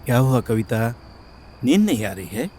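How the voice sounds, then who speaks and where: Cartoon husband, male actor: affectionate and supportive, very animated intonation, reassuring delivery. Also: medium pitch, normal speed, slightly rough. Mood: concerned. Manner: reassuring, expressive. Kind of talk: cartoon moral story voice acting.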